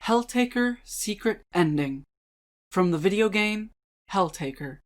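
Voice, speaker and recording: A woman giving a scripted spooky dramatic reading, ominous and deliberate, with measured dramatic pauses. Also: medium pitch, lively intonation. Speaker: dramatic reader.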